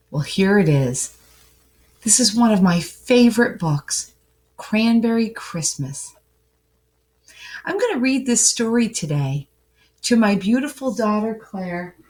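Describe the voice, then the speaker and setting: A woman reading a storybook aloud, talking directly to young listeners in a sing-song way. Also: mid-range voice. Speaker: storybook reader for children. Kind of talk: warm read-aloud greeting and storytelling to children.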